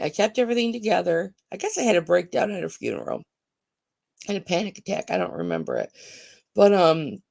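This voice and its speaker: Gravelly groggy-voiced woman auction host. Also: lowish voice, a little mellow. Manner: chatty, calls viewers honey. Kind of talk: live-stream sales chat.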